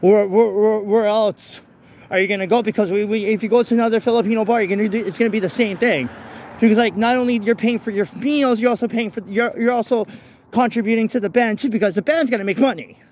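High-voiced man chatting outdoors. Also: fairly even intonation, darkish tone. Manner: informal, halting, repeats phrases. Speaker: vlogger. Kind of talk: casual rambling walk-and-talk chat. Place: outdoors walking along a street at night. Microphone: handheld phone. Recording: noisy outdoor phone, traffic ambience. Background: vehicles and street ambience.

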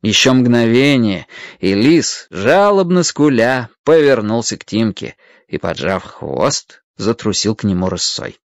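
Narrator for an audio drama: expressive scripted storytelling, very varied melody, close dry sound. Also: mid-range voice, measured pace, no echo.

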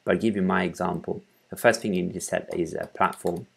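Male tutorial presenter, thirties, very low monotone, halting and informal, explaining each step at his desk. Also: vocal fry, husky. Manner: halting, thinking aloud while working. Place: small room at home, indoors.

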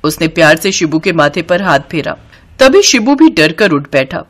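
Female narrator for cartoon tales, scripted storybook read, very animated intonation. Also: lowish voice, normal speed.

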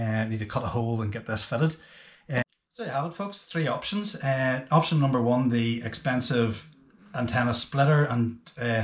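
A man, hosting a casual boating explainer to camera in a hesitant, unscripted way with frequent pauses. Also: mid-range voice. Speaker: boating video host. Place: small indoor workshop room with bare walls. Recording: echoey bare room.

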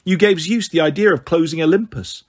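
Man playing an angry, forceful young hero. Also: a little high, fairly quick, natural voice. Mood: accusing. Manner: forceful, demanding. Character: defiant, angry teenage demigod hero boy.

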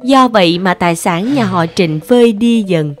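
A woman's voice: mid-range voice, expressive, moderate pace. A woman narrates a traditional tale in a scripted storytelling style. Music plays faintly behind her voice.

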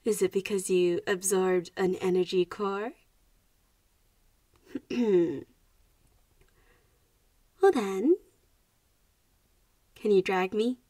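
A woman playing a teasing, haughty yet protective young vampire schoolgirl in a roleplay audio drama, speaking with deliberate phrasing and sighs. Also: medium pitch, warm tone, dry recording, studio quality. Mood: tired, complaining.

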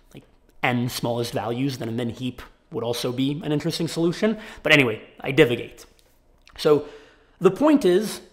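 A young man talking to camera in a casual vlog, sick and raspy, animated, from a small home office. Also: mid-range voice. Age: young adult. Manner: animated, gestures a lot with his hands. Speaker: tech YouTuber.

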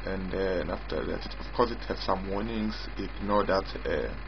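Halting low-voiced man demoing software. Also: vocal fry, background noise. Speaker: software developer demonstrating own app. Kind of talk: informal screen-recorded software demo narration. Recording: dull lo-fi mic with prominent music.

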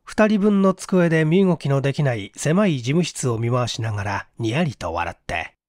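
A man's voice: mid-range voice, lively intonation, normal speed, a little mellow, no room sound, clean studio sound. A man narrating a detective story as a dramatic literary reading, acting out the dialogue. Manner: theatrical, acts out the dialogue. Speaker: audiobook narrator.

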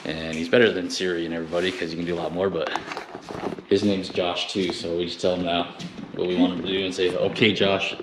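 A man's voice: low-pitched, level tone, quick pace. A man giving an informal, off-the-cuff walkthrough as an installer hosting a job-site vlog, recorded indoors on a handheld camera. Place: large open living room with high ceiling, indoors. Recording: clearly noisy camera audio, clicks.

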